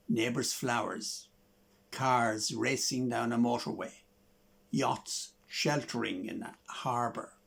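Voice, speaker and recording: Gravelly older Irish man, slow and measured. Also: mid-range voice. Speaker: host introducing songs and reading his own poem. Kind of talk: poetry reading and scripted introductions.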